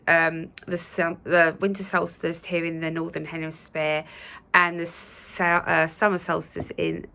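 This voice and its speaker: Woman host, croaky and gravelly after a cold, lowish voice, gentle reassuring chat to viewers. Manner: gentle, reassuring, with many fillers. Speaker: spiritual channel host. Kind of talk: casual spoken talk to viewers.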